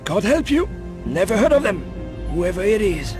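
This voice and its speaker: An actor playing a miserable, suspicious old ex-janitor in a video game, grumbling and curt. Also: male voice, sits high, sing-song, moderate pace, music in the background. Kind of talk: video game cutscene acting.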